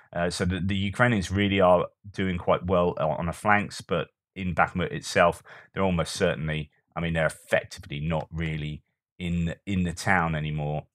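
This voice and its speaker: A man's voice: deep voice, fairly bright tone, no room sound, studio quality. A man commenting on war news in a casual, thinking-aloud way, with frequent fillers and restarts.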